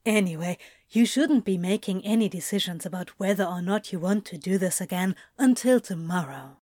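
A woman plays a relaxed, playful male yoga teacher in an audio story. The tone is soft and mellow, close and dry, with gentle encouragement and teasing reassurance. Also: on the low side, normal speed, dry recording, lightly acted. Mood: reassuring. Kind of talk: audio fiction character acting.

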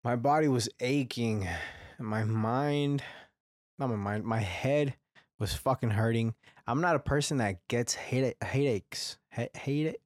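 A man's voice: mid-range voice, unhurried, dry recording, clean studio sound. Rambling, cursing young guy. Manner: rambling, heavy swearing, frequent restarts. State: congested, phlegmy throat. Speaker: podcast host. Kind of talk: casual solo podcast monologue.

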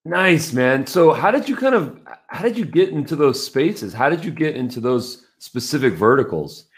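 A man's voice: mid-range voice, very animated, moderate pace. A man hosting a podcast, who reads the show's intro and then eagerly hypes up his guest. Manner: enthusiastic, hyping up the guest. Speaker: podcast host.

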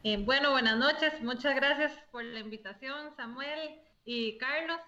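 A woman's voice: high-pitched, measured pace, bright, forceful tone. A woman from Costa Rica, a guest answering interview questions politely. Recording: dull remote call audio.

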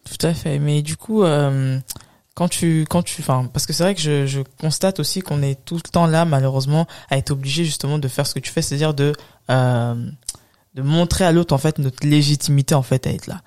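A woman's voice: sits low, fairly even intonation, fairly quick, mellow. A woman hosting a conversational interview, speaking in a thoughtful, informal and wordy manner. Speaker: podcast host, interviewer.

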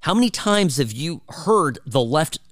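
A man's voice: fairly high pitch, very animated, unhurried, a bit bright, no room sound, studio quality. A man hosting a talk radio show, delivering an opinionated, emphatic and conversational monologue. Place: radio studio.

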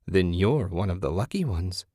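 A man voicing an eerie old woman hermit in a story, folksy and hospitable yet sly, with drawn-out phrasing. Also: sits low, expressive, dark tone, no room sound, studio quality. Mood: knowing, unruffled.

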